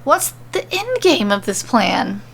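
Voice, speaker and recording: A woman voicing an exhausted, angry, sharp-tongued female wizard in an audio story, blunt and sarcastic. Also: mid-range voice, big pitch swings, slow, creaky, slightly rough, exaggerated voice. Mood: impatient.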